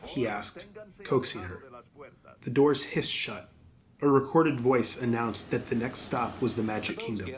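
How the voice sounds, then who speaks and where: A man's voice: mid-range voice, measured pace. A man reading scripted audiobook narration as the narrator.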